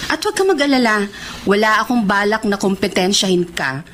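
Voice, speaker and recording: A woman's voice: mid-range voice, expressive, brisk, very noisy, lightly acted. A woman acting a cautious, frank young friend in a radio drama, speaking with a Filipino accent. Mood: hurt, frank.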